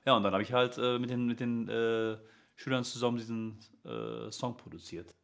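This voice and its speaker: A man's voice: mid-range voice, lively intonation, moderate pace, gravelly. A man, a music teacher, chatting casually as an interview guest, rambling with many fillers and restarts.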